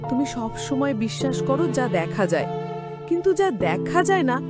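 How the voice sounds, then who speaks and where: A woman voicing a caring, sensible village woman in a cartoon, gently scolding and moralising. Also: high voice, very animated, fairly quick. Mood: admonishing. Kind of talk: animated moral story dialogue. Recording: loud music under the voice.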